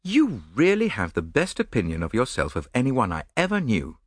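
A man voicing an earnest, romantic gentleman, eager and exclaiming. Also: medium pitch, wildly swooping pitch, normal speed, dry recording, studio quality, voice pushed up. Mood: teasing.

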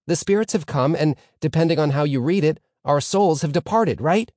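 A man's voice: a little high, moderate pace, darkish tone, dry recording, clean studio sound, pitched above the performer's own voice, natural voice. A man voicing an eager, quibbling teenage yeshiva student in an audiobook story, inquisitive and emphatic. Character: eager, quibbling teenage yeshiva schoolboys answering their rabbi.

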